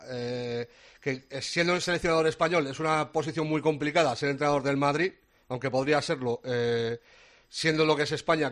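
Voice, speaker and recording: A man with a Spain Spanish accent giving his views as a pundit on a radio sports panel, talking in a conversational way with many fillers and pauses. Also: a little high, a bit bright.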